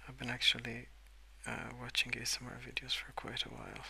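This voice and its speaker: Young man, soft-spoken ASMR, breathy half-whisper, very sharp sibilant edge, gravelly fry, unhurried sleepy rambling. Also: mid-range voice, completely flat delivery, a little hiss or hum, low-quality recording. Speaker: ASMR video creator.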